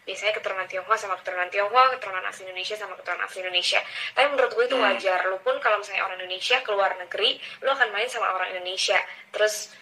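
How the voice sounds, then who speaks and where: A woman's voice: mid-range voice, very fast, poor audio. A young woman, talking frankly and casually about her own life. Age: young adult. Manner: frank, relaxed, slangy. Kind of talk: casual personal talk.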